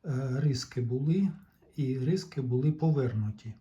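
A man giving a casual, hands-on technical explanation in a deliberate, halting way, pausing mid-sentence. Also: mid-range voice, lively intonation, dark tone, highs cut off. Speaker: tape recorder repair vlogger.

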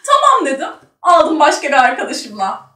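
High-voiced young woman chatting in a roomy space. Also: wildly swooping pitch, normal speed, sharp tone. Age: young adult. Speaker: talk show guest. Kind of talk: casual chat and anecdotes. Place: small studio room at a table. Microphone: shared table microphone. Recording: roomy, distant microphone.

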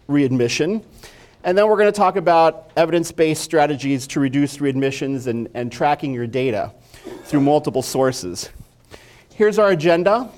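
A man's voice: on the high side, darkish tone. Friendly man giving a medical lecture. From Mexico. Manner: friendly, conversational, engages the audience with questions. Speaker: physician lecturer. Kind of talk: conference lecture. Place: dark stage, indoors.

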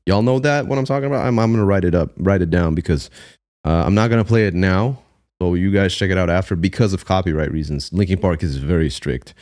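A man in his thirties reacting to a live concert on his stream, talking casually with slang and bursts of excitement, recorded on a studio mic in a small room. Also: low voice, fast talker. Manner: excitable, slangy, breaks into laughs and hums. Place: small room with blue lights, gaming chair. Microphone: studio mic on arm, headphones.

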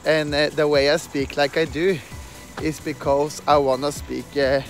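A man in his thirties from Norway, speaking English with a Norwegian accent, talks haltingly to a phone held at arm's length as he walks outdoors at night. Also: on the high side, little pitch movement, soft background music. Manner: halting, many fillers and restarts, earnest. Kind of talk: casual walking vlog. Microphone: phone camera held at arm's length.

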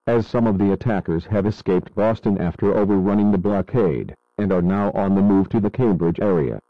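A man's voice: on the low side, no echo, narrow, tinny audio, lightly acted. A man playing a grave radio news anchor, giving scripted breaking coverage of a disaster in a serious, explanatory manner.

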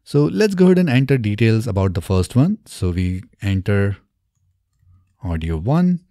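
A man presents a software walkthrough step by step in a mellow, low voice. His intonation rises and falls freely. He speaks close to the microphone in a small indoor studio. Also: big pitch swings, normal speed, no echo. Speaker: tutorial presenter. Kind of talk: step-by-step software tutorial narration. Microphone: close desk microphone on an arm.

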